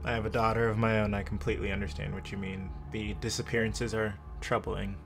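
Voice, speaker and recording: A man's voice: on the low side, little pitch movement, fast, creaky, over music. A man playing a businesslike, self-promoting investigator in a tabletop game, talking in a matter-of-fact way. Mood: sympathetic.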